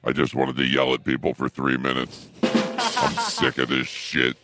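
A man improvising a boyfriend with an extremely deep voice who can't keep it down and keeps apologizing. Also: level tone, a little slow, over loud music. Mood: angry ranting. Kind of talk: improvised comedy sketch.

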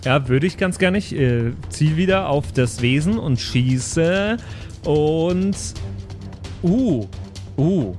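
A man's voice: a little high, lively intonation, slow, a little mellow. A man chatting casually as a player in an improvised tabletop role-playing game. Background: music under the voice.

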